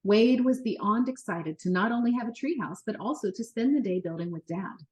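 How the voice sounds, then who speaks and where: A woman narrating a children's book as an expressive, animated read-aloud storyteller. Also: medium pitch, normal speed.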